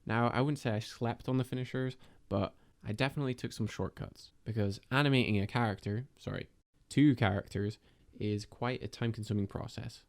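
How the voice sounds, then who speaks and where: A man giving a scripted voice-over about his own 3D animation project, in a measured, explanatory and lightly self-deprecating manner. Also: medium pitch, gravelly. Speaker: video creator and 3D animator.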